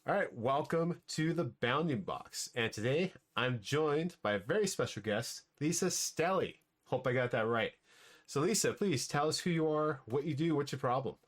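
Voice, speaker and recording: A man's voice: on the high side, animated, moderate pace. A man hosting a podcast, chatting in a loose, friendly way, often repeating words and using fillers like "like" and "stuff". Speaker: podcast host. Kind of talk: casual podcast chat.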